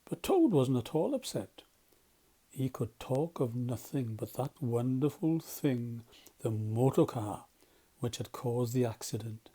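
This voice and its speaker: A man's voice: mid-range voice, very animated, a little slow, mellow, slightly rough. A man narrating a children's storybook as a volunteer storyteller.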